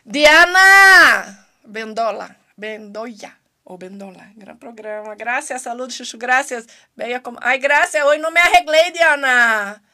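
High, bright Brazilian-accented woman. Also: slow. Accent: Brazilian Portuguese. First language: Portuguese. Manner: playful, loud, joking, swears casually. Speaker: host. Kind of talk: casual chat.

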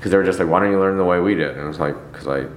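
A man's voice: low-pitched, racing, slight background noise. A young man rambling wryly in a casual chat, often restarting his sentences. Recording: roomy with faint ambience.

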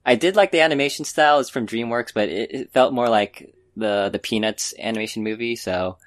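A man's voice: medium pitch, a little fast. A man co-hosting a podcast, talking casually and hesitantly as he gives informal opinions in a meandering way. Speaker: podcast co-host. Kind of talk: casual chat, informal film review.

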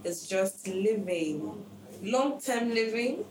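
A young woman from Nigeria, talking candidly and reflectively in a casual chat, often pausing on fillers. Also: mid-range voice, expressive, far from the mic. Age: young adult. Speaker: podcast guest. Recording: echoey room with background noise.

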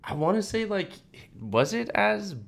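A man's voice: sits high, big pitch swings, measured pace, vocal fry, fairly bright tone, a little hiss or hum. A young American man co-hosting a podcast, reminiscing in a casual, enthusiastic and slangy way. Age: young adult. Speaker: podcast co-host.

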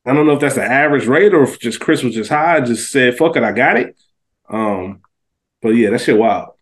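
A man's voice: medium pitch, animated, a little fast. A man co-hosting a podcast in casual chat, talking emphatically with plenty of slang and swearing. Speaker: podcast co-host reviewing a TV series.

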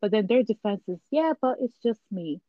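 A woman's voice: mid-range voice, animated, moderate pace, dry recording, dull audio. A woman chatting candidly in a casual conversation, livening up as she tells anecdotes.

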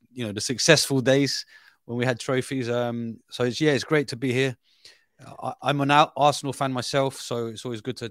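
A man's voice: mid-range voice, no room sound, studio quality. A middle-aged man from Brazil with a London accent, a football journalist chatting as a guest, hesitant with many restarts and fillers. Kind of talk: casual interview chat.

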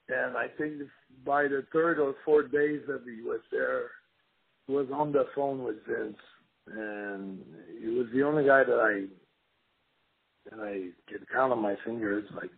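A man's voice: mid-range voice, measured pace, creaky, husky. A man in his fifties from Montreal with a Quebec French accent, a former wrestler rambling through his story with lots of "you know" fillers. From Montreal, Canada. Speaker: former pro wrestler, guest. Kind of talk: casual interview storytelling. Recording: tinny phone line.

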